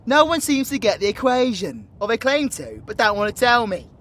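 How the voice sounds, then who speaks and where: Guy acting a mouthy british supervillain, bright sharp voice, swings all over, cheeky and sweary. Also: very high-pitched, animated, normal speed, a little hiss or hum. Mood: rowdy. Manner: slangy, irreverent, swaggering. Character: cocky, foul-mouthed, cheeky male supervillain. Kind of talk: villain audio roleplay acting.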